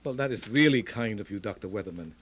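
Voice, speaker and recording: A man playing an excited, courteous scientist and inventor in an old-time radio drama, with a grand, deliberate delivery. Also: on the low side, breathy edge. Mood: eager, formal. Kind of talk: Golden Age radio drama acting.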